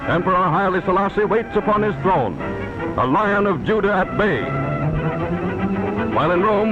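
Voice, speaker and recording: Dull vintage newsreel recording, loud music, male narrator, high pitch, declamatory scripted delivery. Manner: declamatory, dramatic.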